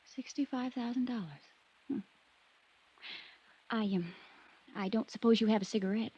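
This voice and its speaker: A woman's voice: on the high side, normal speed, dark tone, husky. A woman acting a defiant, headstrong young rancher's daughter in an old-time radio drama. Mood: cool, probing. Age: young adult. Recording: muffled vintage radio recording.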